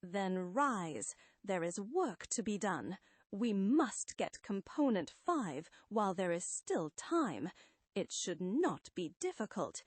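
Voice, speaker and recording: A woman's voice: medium pitch, sing-song, slow pace, husky, dry recording, full character voice. A woman voicing an imperious armored male supervillain who speaks in a pompous, grandiose, coldly boastful way. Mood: commanding.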